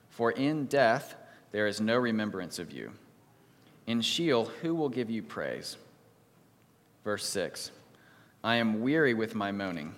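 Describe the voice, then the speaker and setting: A pastor reads a psalm in a measured, solemn voice, then prays and preaches a sermon to his congregation. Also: lowish voice, long reverb tail. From United States. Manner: measured, solemn reading, livelier when telling stories. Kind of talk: sermon with scripture reading and prayer.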